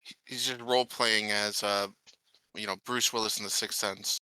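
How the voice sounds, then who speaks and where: Low male voice, very bright and sharp. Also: steady tone, moderate pace, clean tone, no room sound, rough recording. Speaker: roleplaying game player. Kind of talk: improvised in-character tabletop discussion.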